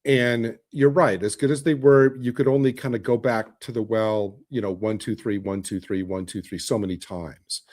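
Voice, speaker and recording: A man's voice: on the low side, fairly even intonation, moderate pace, hardly any pauses, darkish tone. A man talking casually as a panellist in a podcast discussion.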